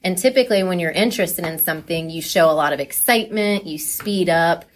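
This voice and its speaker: A woman talking casually and enthusiastically as a coach being interviewed, chatty and quick to laugh. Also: on the low side.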